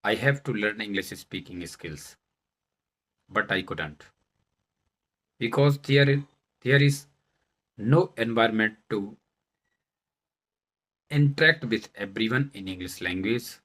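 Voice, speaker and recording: Slow, deliberate Indian man introducing himself. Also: fairly low pitch, expressive, a bit bright. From Madhya Pradesh, India. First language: Bundelkhandi. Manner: deliberate, halting phrasing with stressed words. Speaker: vlogger, English learner turned presenter. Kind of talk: self-introduction talk to camera.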